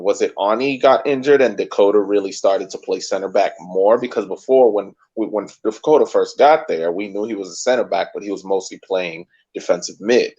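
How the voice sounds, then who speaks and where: A man co-hosting a sports podcast, talking casually and thinking aloud in measured, careful points. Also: fairly low pitch, darkish tone. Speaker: podcast co-host. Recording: dull lo-fi podcast audio.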